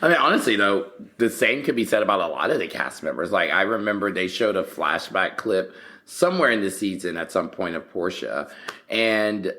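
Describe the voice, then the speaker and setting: Bright, low-voiced man co-hosting a podcast. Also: expressive, moderate pace. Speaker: podcast co-host. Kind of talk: casual chatty reality TV recap.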